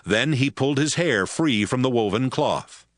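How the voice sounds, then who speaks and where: A man narrating scripture in a steady, even storytelling manner. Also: medium pitch, moderate pace, dry recording, studio quality.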